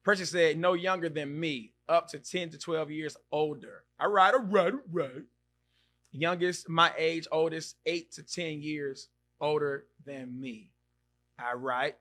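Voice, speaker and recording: A podcast host, a man in his mid-thirties, talks casually with a bright, forceful voice pitched a little high. He reacts with loud exclamations, repeats himself and thinks aloud while reading viewer comments. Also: steady tone, unhurried. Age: 30s. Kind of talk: casual live-stream chat reading comments.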